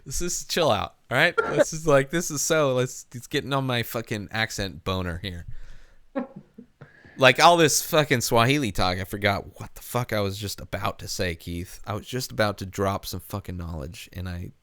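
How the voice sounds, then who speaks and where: A man hosting a casual comedy podcast, talking in a rambling, halting way with plenty of swearing. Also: fairly low pitch, fairly bright tone, dry recording, studio quality. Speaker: podcast host.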